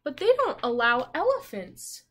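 A kind and loyal little boy from a picture book, read aloud by a woman. He talks plainly in a fairly high voice. His short lines are delivered slowly for young listeners. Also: wildly swooping pitch, a bit bright, heavily acted. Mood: friendly.

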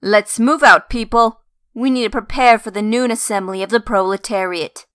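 Woman as a sharp, ruthless revolutionary zealot. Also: on the high side, fairly even intonation, moderate pace, no echo, clean studio sound, natural voice. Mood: commanding. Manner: commanding, hard. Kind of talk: amateur comic dub acting.

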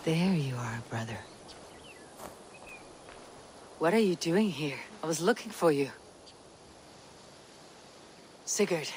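Low-voiced, steadfast Viking warrior. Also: female voice, expressive, normal speed, a little mellow, a little hiss or hum. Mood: concerned. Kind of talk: dramatic video game acting.

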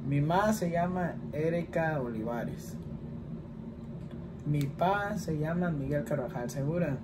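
A man's voice: a little high, animated, measured pace, noisy background. A man in his twenties with a Mexican Spanish accent, chatting casually in a home vlog and answering questions in a rambling way, often tagging lines with "¿verdad?". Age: 20s. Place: small indoor room, plain wall.

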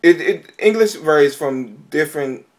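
A man's voice: fairly high pitch, lively intonation, normal speed. A man co-hosting a casual discussion who laughs often and stops and restarts while searching for words. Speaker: podcast co-host.

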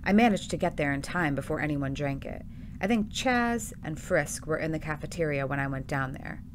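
A woman's voice: deep voice, expressive, moderate pace, slight background noise. A woman playing an anxious, earnest hotel employee in an audio drama, worried and hesitant.

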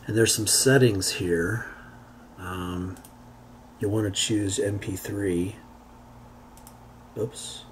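A man giving an unscripted how-to software demonstration, thinking aloud in a halting way as he works. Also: fairly low pitch, steady tone. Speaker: tutorial presenter. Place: indoors, beside a computer. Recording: homemade recording with background noise.

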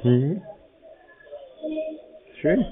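Deadpan man on a thin phone line. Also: a little high, drawn-out words, slightly rough. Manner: deadpan, laconic. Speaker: prank-call scam baiter. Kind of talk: casual phone call. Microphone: telephone. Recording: tinny narrowband phone line.

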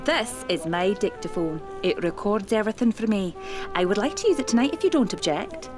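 A woman playing an elderly spirit medium in a period audio drama, prim and dryly teasing, speaking in a deliberate, proper way. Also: medium pitch, animated, music in the background, close to an everyday voice. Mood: earnest.

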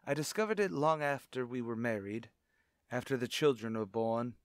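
A man's voice: mid-range voice, moderate pace, no echo, studio quality, natural voice. A man playing a brooding, secretive widowed father in formal, grave, melodramatic old-time radio suspense style. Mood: grave, confiding. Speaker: radio drama actor.

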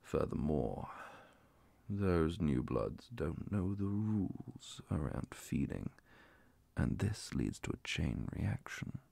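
Man voicing a slow, gravelly, hushed vampire inquisitor. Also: extremely low pitch, big pitch swings, no room sound, exaggerated voice. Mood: formal, solemn. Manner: formal, measured, hushed. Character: calm, courteous male vampire inquisitor, formal and protective. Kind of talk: soft-spoken ASMR roleplay with whispers. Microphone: close mic, dry.

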